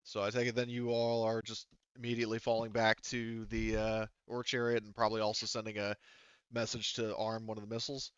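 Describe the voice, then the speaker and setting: A man's voice: lowish voice, completely flat delivery, brisk, clean tone, dry recording, highs cut off. A man acting as game master, narrating a tabletop roleplaying game in a casual, hesitant way.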